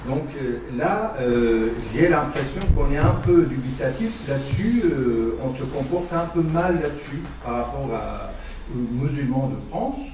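Guy from iran talking slow in a meeting, lots of uh, far off roomy mic. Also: mid-range voice, expressive, background noise. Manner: hesitant, measured, many fillers. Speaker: participant in a public discussion. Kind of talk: debate contribution. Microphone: far camera. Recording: echoey room from far off.

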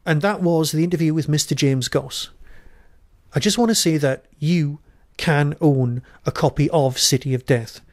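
A man's voice: a little high, normal speed, a little mellow. A man with a Geordie accent hosting a podcast interview, talking eagerly and tripping over the first words of his sentences. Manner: enthusiastic, repeats words when starting. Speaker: podcast host. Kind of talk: casual interview chat.

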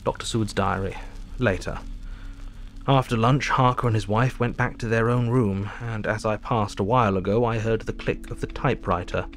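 An actor playing a thoughtful Victorian doctor reading his diary aloud in a formal, measured, reflective way. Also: fairly low pitch, steady tone, slight background noise, natural voice. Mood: musing. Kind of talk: dramatic audio diary narration. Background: music.

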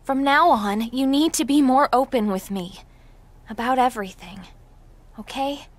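A woman's voice: high-pitched, unhurried. A woman playing a feisty, caring teenage girl in a video game, with an assertive, scolding manner. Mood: reproachful. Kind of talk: video game cutscene acting.

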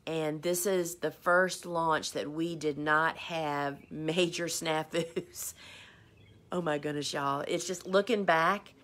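A woman hosts a relaxed live stream, answering viewer questions. Her voice sits a little low for a woman. She talks in a chatty, rambling way and pokes fun at herself. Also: slow pace. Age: 50s. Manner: chatty, rambling, self-deprecating. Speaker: host.

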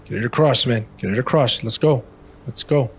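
A man's voice: medium pitch, normal speed, breathy edge. A man giving casual, slangy gameplay commentary, blunt and often repeating short phrases. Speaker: video game streamer.

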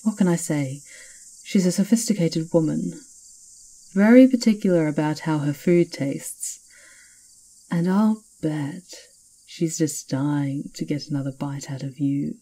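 Castle witch for ASMR fantasy roleplay, female performer: very mellow, breathy, knowing, slyly teasing, conspiratorial. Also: low-pitched, a little slow, rough recording. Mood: playful, teasing. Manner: teasing, confiding, theatrical.

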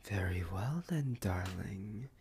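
A man voicing a possessive, smug werewolf alpha in an ASMR roleplay, husky and breathy, often whispering, with teasing deliberate pauses. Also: sits low, expressive, darkish tone, a little hiss or hum, exaggerated voice. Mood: possessive, coaxing.

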